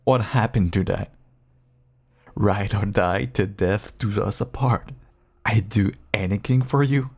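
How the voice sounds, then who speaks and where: Gravelly, slow-talking vampire lover, played by a man. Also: on the low side, heavily acted. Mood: taunting, sardonic. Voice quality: husky, gravelly, breathy at times. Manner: slow, coaxing, with sardonic edges. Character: infected male vampire lover, caged, pleading, seductive, bitter. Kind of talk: intimate roleplay audio acting, addressing a partner.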